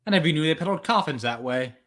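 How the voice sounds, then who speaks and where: Give the part of a weary, sarcastic radio player to a man acting it out. The voice sits high and bright, with very animated swings. He grumbles in the manner of a 1940s radio play. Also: fast. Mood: weary grumbling. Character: Ed, tired grumbling male radio actor, sarcastic.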